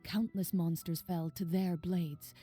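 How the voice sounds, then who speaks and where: A woman playing a composed, stoic young champion duelist in a video game, speaking in a measured, formal and reserved way. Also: fairly low pitch, steady tone, warm tone, faint music behind, natural voice. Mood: explaining calmly.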